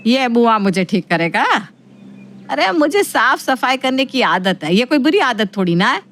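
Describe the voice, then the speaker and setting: A woman voicing a bossy, fussy middle-aged mother-in-law in a moral story, quick to take offence. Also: a little high, sing-song, fairly bright tone, a little hiss or hum. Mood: indignant, fussy.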